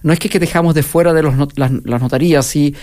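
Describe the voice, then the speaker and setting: A man with a German accent speaks Spanish as an interview guest. He is measured and hesitant, with a slightly higher voice. His answers are plain and unhurried. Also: steady tone, darkish tone. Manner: measured, with many hesitation fillers. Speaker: startup founder, guest.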